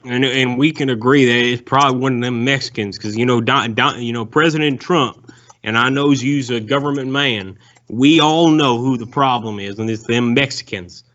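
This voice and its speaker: A man doing a rambling Southern ex-convict with a thick folksy drawl, sir-ing every other breath. His voice sits at an ordinary middle pitch and comes through dull and lo-fi, like a phone call. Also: normal speed, fairly bright tone, close to an everyday voice. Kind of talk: prank call.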